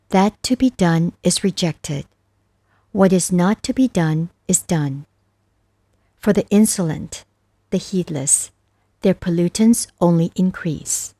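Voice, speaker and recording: A woman reading scripture as scripted narration, reciting verses with measured, deliberate phrasing. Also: fairly low pitch, dark tone, dry recording. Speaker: narrator of a Buddhist scripture audiobook.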